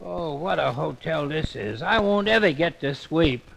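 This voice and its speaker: An actor playing a mild, bumbling man who is fussy and polite and quickly gets exasperated. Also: fairly high pitch, animated, creaky, background noise, heavily acted. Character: mild bumbling grown man, easily flustered. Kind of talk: children's story record acting.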